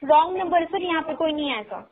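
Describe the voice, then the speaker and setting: A woman's voice: very high-pitched, fast talker. A young woman on a thin phone line, working as a call centre agent and answering politely but firmly. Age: young adult. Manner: polite, firm. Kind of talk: customer service phone call.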